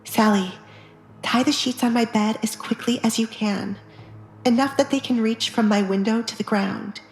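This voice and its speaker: A determined young noblewoman, voiced by a woman holds her ground in an audiobook drama. Her voice is fairly high, guarded and stubborn, with a roomy sound and faint music behind. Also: fairly even intonation, moderate pace, natural voice. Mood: anxious, urgent.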